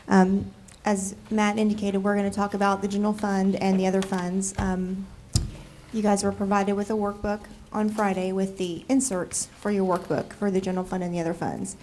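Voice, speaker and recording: A woman with a cold, nasal and froggy, presenting a city budget to council in a measured way with frequent ums. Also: mid-range voice, little pitch movement, a little mellow, a little hiss or hum. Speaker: city deputy budget director. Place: council chamber.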